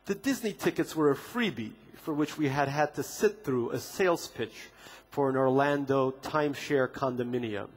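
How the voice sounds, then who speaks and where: A man's voice: medium pitch, normal speed, breathy edge. A man reading aloud from his own memoir at a literature festival, with a dry, ironic delivery and comic timing. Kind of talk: reading aloud from a memoir, wry deadpan humor. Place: festival stage before an audience.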